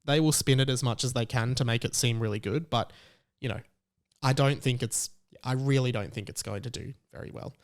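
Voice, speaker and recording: A man's voice: medium pitch, fairly even intonation, fairly quick. A man hosting a solo podcast, giving conversational commentary in an emphatic, self-assured, opinionated way. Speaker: podcast host.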